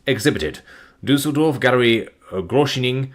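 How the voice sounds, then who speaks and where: A tired man, reading long file listings aloud on a live stream in a flat, plodding way, his voice starting to give out. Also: medium pitch, normal speed. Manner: flat, plodding reading of numbers with weary asides. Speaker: document narrator.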